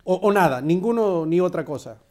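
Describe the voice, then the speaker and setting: A man's voice: sits high, lively intonation, brisk, darkish tone. A male host leading a studio panel, pressing guests with probing questions and interruptions.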